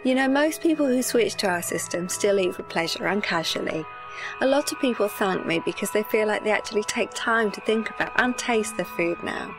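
A woman's voice: mid-range voice, expressive, fairly quick, over music, close to an everyday voice, poor audio. A woman playing a cheerfully callous corporate chief executive, speaking in a breezy, matter-of-fact way. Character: cheerfully callous female food-tube CEO. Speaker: guest. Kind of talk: comedic radio-show parody.

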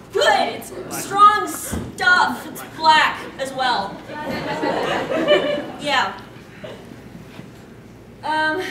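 A woman's voice: sits high, pushed and bright. A young woman doing a live comedic dramatic reading as a panel host, with wry, theatrical, self-interrupting delivery. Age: young adult. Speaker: convention panel co-host reading fan fiction. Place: convention panel room stage. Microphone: far camera. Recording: echoey room from far off, noisy.

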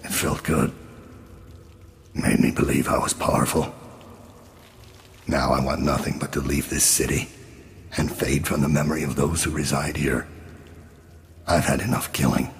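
An actor playing a weary, brooding middle-aged assassin full of regret in a video game, grim and deliberate, with a deep gravelly rasp. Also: male voice, slight background noise, heavily acted. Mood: regretful, resigned. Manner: slow, grim, deliberate delivery. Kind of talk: dramatic video game monologue.

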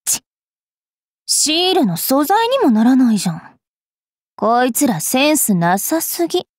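A woman voicing a bratty, cruel young fairy witch in an anime game, haughty and taunting with a rough tongue. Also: sits high, very animated, unhurried, no echo, clean studio sound, heavily acted. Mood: taunting, aggressive. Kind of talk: Japanese anime game character voice lines.

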